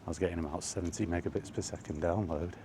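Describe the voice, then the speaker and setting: A man's voice: low voice, steady tone, quick pace. A man chatting casually and rambling in a wry, grumbling way while walking outdoors. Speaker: vlogger. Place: outdoors on a walk, under trees. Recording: faint outdoor ambient noise.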